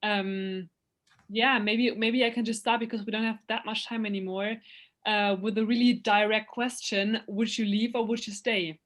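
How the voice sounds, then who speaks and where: A woman's voice: medium pitch, steady tone, moderate pace. A young woman presenting an online event, friendly, with many fillers and restarts.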